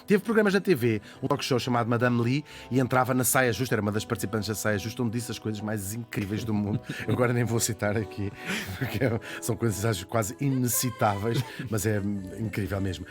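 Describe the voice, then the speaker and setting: Brief: Portuguese male radio columnist, quick and animated, light music under the studio talk. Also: on the low side. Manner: animated, laughing storyteller, quick asides. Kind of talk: lively radio biography chat.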